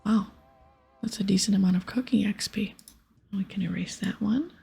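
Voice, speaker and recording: A woman doing a whispered ASMR game playthrough, casually soft-spoken and breathy as she reads aloud and comments. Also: mid-range voice, moderate pace. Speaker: ASMR gaming streamer. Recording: soft music behind. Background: soft music.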